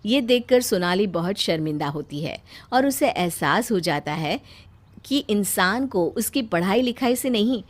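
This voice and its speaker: A woman's voice: mid-range voice, animated, a little slow. A woman narrating a scripted moral story for children as a storyteller.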